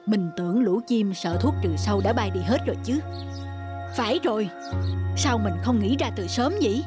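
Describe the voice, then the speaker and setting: A woman's voice: medium pitch, normal speed, music in the background, close to an everyday voice. A woman playing a conscientious, thoughtful male farmer in a children's cartoon, earnest and reflective.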